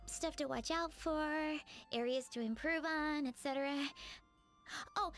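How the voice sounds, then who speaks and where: Earnest, high-voiced bookish young bard. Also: female voice, a little slow, fairly bright tone, soft background music. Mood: touched, earnest. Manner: polite, earnest, studious. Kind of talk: video game voice acting.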